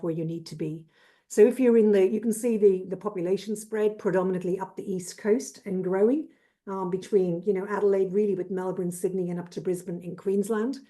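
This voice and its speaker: A woman in her fifties with an Irish accent, giving a brisk, businesslike webinar presentation as a trade consultant over a video call. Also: medium pitch, dark tone. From Ireland. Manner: brisk and businesslike, lists with "et cetera".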